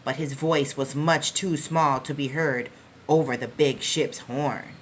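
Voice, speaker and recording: A woman reading a picture book aloud to young children as a preschool teacher, expressively, with deliberate, dramatic phrasing. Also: low-pitched, fairly even intonation, slight background noise.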